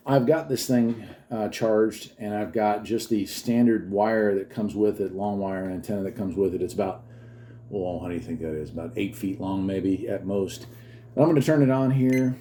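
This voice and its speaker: A man's voice: on the low side, normal speed, warm tone. A man casually hosting a gadget demonstration on video, rambling along with enthusiasm. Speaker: YouTube host. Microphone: phone camera held by another person. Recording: faint background noise.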